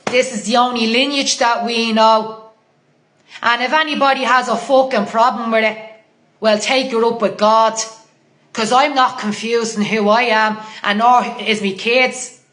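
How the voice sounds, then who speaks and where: A woman's voice: on the high side, fairly even intonation, measured pace, a bit bright, roomy sound, a little hiss or hum. An Irish woman with a Dublin accent delivering a heated, combative monologue to camera, blunt and full of swearing. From Ireland.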